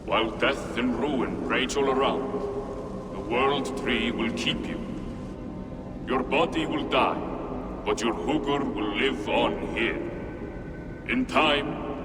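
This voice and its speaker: An actor voicing an ancient, solemn keeper spirit of a sacred well in a video game, grave and oracular, in cavernous reverb. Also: male voice, mid-range voice, slow, long pauses, distant mic, over loud music. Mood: prophetic.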